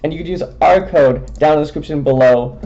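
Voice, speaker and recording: A man's voice: on the high side, fairly quick, dark tone. A man reading a sponsor ad for a podcast in an upbeat way. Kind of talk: read sponsor spot. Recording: dull, muffled audio.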